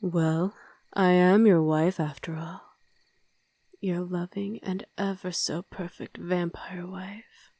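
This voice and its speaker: A woman playing a tender, doting, teasing vampire wife in an intimate ASMR roleplay, speaking softly and breathily, often in a whisper. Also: fairly low pitch, little pitch movement, slow pace, no echo. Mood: playful, loving.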